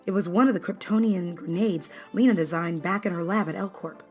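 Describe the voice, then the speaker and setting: A woman narrates a scripted story in a dramatic style. The voice is a little on the low side. Music runs quietly underneath the reading. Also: a little fast. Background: background music.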